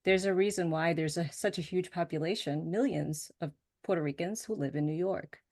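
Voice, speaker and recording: A middle-aged woman, a Spanish speaker, giving a prepared talk in a measured storytelling way. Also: low-pitched. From United States. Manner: measured storytelling, some fillers.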